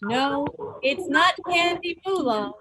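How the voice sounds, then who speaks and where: Woman, quiz host, high voice, slow delivery, playful mock-formal announcements, dry recording. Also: animated, pushed and bright, no room sound. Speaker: quiz host at a club meeting. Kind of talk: informal quiz announcing to a group.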